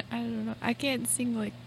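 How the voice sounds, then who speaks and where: A young woman guest with a soft, hesitant way of talking, who giggles often. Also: a little high, flat delivery, normal speed, creaky, noisy background. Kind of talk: casual chat. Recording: music under the voice. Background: music.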